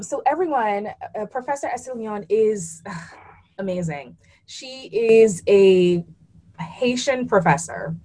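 A woman in a mid-range voice hosts a relaxed podcast chat. She is playful and self-deprecating, and she often trips over her words as she introduces her guest. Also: mid-range voice. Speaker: podcast host. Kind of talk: casual podcast interview.

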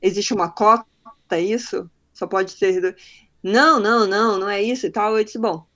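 A woman's voice: mid-range voice, steady tone, moderate pace, a bit bright. A woman from northern Brazil with a faint northern Brazilian accent, a guest on a podcast who tells her stories in casual talk and acts out the people she quotes. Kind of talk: casual interview chat.

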